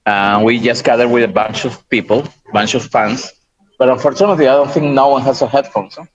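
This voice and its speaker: A man reporting casually from a busy restaurant over a video call, talking haltingly with many restarts. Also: mid-range voice. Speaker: on-site reporter. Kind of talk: casual chat. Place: crowded restaurant. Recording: muffled call line in busy room. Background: crowd chatter.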